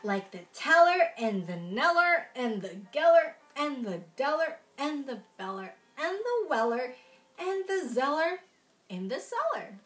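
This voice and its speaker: Young lady doing kids storytime, really swoopy sing-song voice, takes it slow, faint music. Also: sits high. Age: young adult. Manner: expressive, sing-song, addressing young children. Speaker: children's storytime reader in princess costume. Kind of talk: read-aloud children's storytime with asides. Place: small room at home, indoors.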